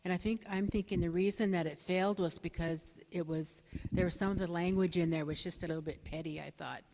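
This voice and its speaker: Middle aged lady answering at a candidate forum, soft kinda low voice, hesitant, big echoey hall sound. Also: fairly even intonation. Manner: hesitant, halting, plain-spoken. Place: bingo hall, public forum over a PA. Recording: echoey hall with long reverb.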